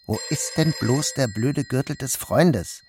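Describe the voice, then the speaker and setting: An actor narrating as a guilt-ridden young man in a literary radio play, in a subdued, measured way. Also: medium pitch, expressive, noisy background, lightly acted.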